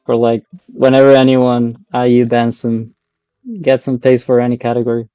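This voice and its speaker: A man's voice: mid-range voice, monotone, normal speed, dark tone, clear, resonant tone, dry recording, lo-fi sound, faint music behind. A man with an Argentinian accent, a native Spanish speaker, chatting casually and rambling as he streams a game. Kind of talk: casual live stream chat while gaming.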